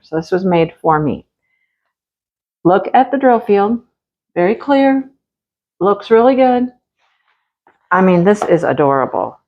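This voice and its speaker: A woman's voice: mid-range voice, darkish tone, muffled recording. A woman talks straight to her viewers in a homey, chatty way as she unboxes craft kits. Her voice is a little stuffy, and she has a slight cough from a cold. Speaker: crafting channel host. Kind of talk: casual unboxing chat.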